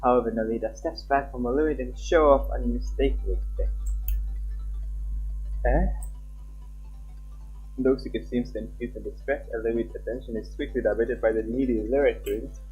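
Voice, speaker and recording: A man's voice: mid-range voice, steady tone, muffled recording. Casual man reading a game aloud. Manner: casual, halting, with asides and restarts. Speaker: streamer reading a visual novel aloud. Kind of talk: live gameplay narration with comments. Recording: faint game music behind.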